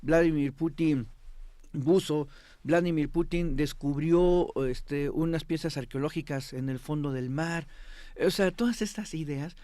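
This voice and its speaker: A man's voice: a little high, measured pace, mellow, no echo. A man speaking as an expert guest in a studio interview, explaining with emphasis and often checking that the listener follows. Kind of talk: interview commentary on politics.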